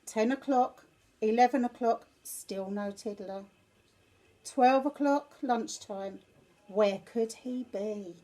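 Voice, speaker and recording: Lady reading a kids bedtime story, high voice, really takes her time. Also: rough recording. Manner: slow, animated, deliberate storytelling. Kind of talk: expressive bedtime story reading for young children.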